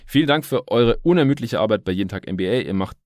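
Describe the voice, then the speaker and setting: A man's voice: on the low side, lively intonation, fast talker, darkish tone, no room sound, clean studio sound. A man hosting a basketball podcast in casual talk, explaining things colloquially with English sports jargon mixed in.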